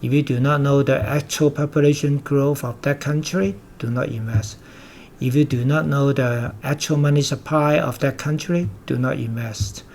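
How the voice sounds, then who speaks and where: A man's voice: medium pitch, moderate pace, vocal fry, darkish tone, a little hiss or hum. Emphatic older Hong Kong man lecturing. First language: Cantonese. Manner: emphatic and repetitive, with blunt warnings. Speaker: investment commentator. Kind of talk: lecturing market commentary.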